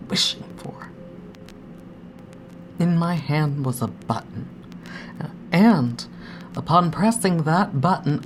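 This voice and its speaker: Very low, halting, grieving daughter, acted by a woman. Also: slight background noise. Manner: halting, intimate, with hushed breathy dips and dramatic pauses. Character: grieving adult daughter, anxious, haunted, longing for her dead father. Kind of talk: dramatic first-person audio drama monologue.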